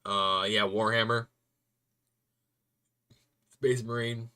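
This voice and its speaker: A young man rambling casually to viewers on a stream, chatty and quick to laugh. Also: fairly low pitch, flat delivery, bright, forceful tone, clear, resonant tone.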